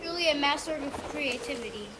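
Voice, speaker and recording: A woman playing a dutiful, watchful guardian angel with composed, deliberate delivery in a radio play. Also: sits high, animated, crowd noise. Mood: friendly, watchful. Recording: very noisy home recording, ambience.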